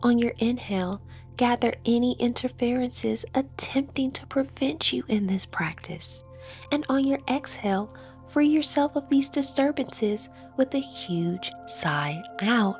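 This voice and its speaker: A woman leading a guided meditation in a soothing manner, with phrases that trail off into a breathy near-whisper. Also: fairly high pitch, a little slow, darkish tone. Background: music.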